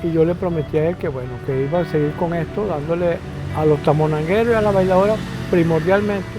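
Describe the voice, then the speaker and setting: A man's voice: on the high side, quick pace, music in the background. An older man with a Venezuelan accent from Lara, a local cook casually telling stories in an interview. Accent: Venezuelan, Lara state.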